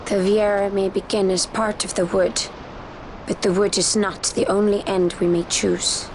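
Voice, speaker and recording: A woman's voice: medium pitch, level tone, unhurried, close to an everyday voice. A woman playing a reserved, forest-born female warrior in a fantasy game, composed, terse and formal. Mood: cool, composed. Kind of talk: scripted fantasy game acting. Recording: noisy ambience behind the voice.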